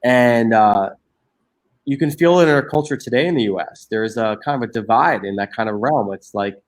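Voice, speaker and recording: A man's voice: mid-range voice, normal speed. A man in his forties from Egypt, a guest talking earnestly in an interview, restarting his sentences often. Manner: earnest, many restarts and fillers. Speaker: guest, social entrepreneur. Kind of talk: reflective interview conversation.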